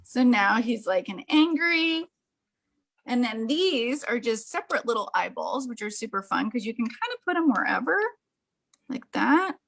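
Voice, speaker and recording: Woman, fairly high voice, art demonstrator, chatty and gushing, casual how-to delivery. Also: expressive, moderate pace, creaky, a bit bright. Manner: enthusiastic, gushing. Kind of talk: casual hands-on craft demonstration.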